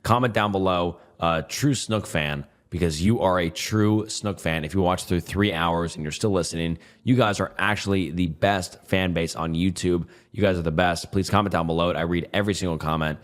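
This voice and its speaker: A man's voice: low voice, normal speed, hardly any pauses. Strained-voiced man narrating Reddit posts. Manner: casual, chatty delivery with fillers. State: strained, partly losing his voice. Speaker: YouTube story narrator. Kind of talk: reading Reddit posts aloud.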